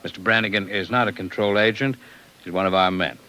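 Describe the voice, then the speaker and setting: An actor in a 1950s radio drama playing a composed underground leader, explaining things in a brisk, formal way. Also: male voice, deep voice, sharp tone. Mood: brisk, authoritative. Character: composed, authoritative male underground rebel leader. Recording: old 1950s radio recording.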